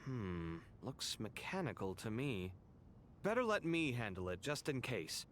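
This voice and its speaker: Lively, inquisitive tinkerer. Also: male voice, mid-range voice, unhurried, slight background noise. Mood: curious, eager. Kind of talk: video game dialogue.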